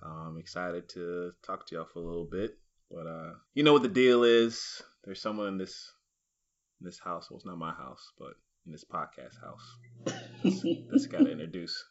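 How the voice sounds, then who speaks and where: A man's voice: deep voice, very animated, normal speed, gravelly, dull audio. A man co-hosting a podcast, easygoing and chatty, with lots of "uh"s and frequent laughs. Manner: easygoing, laughs often, filler-heavy. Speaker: podcast co-host. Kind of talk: casual chat.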